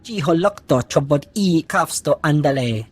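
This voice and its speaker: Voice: mid-range voice, lively intonation, moderate pace, husky. An actor voicing a small alien creature who chatters in an alien tongue. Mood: chattering.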